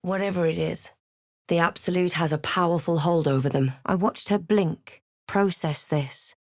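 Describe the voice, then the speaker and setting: Wry, reflective female fantasy adventurer played by a woman, lowish register, close dry sound, measured game narration. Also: no room sound, natural voice. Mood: grave, reflective. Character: female fantasy adventurer, wry, observant, telling her own journey. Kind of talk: video game character voice lines and first-person narration.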